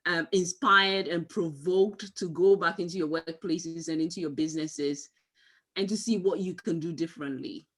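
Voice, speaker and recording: A woman hosting a monthly interview series on a video call, effusive in her introductions and speaking slowly. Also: on the low side, a bit bright. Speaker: host and company CEO. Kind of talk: hosted interview.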